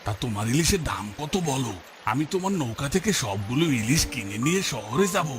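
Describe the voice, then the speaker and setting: A man's voice: medium pitch, big pitch swings, fairly quick, music in the background, close to an everyday voice. A man voicing a friendly, businesslike fish buyer in a cartoon story. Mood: friendly, keen. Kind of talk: Bengali moral story cartoon dubbing.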